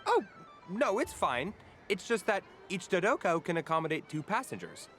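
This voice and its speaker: 一位男演员在游戏中为年轻的游乐设施招待员配音，像照稿吆喝，又不时露出随意的口吻。. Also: male voice, sits high, very animated, normal speed, a bit bright, soft background music. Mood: polite, helpful. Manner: showy announcer patter slipping into casual bewilderment. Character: chirpy young male fairground ride attendant reciting script. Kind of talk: video game story dialogue.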